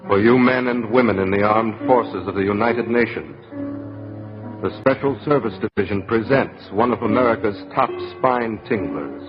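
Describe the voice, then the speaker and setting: A man delivering a portentous, deliberate scripted introduction as a 1940s radio announcer. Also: lowish voice. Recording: muffled 1940s radio recording. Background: loud music.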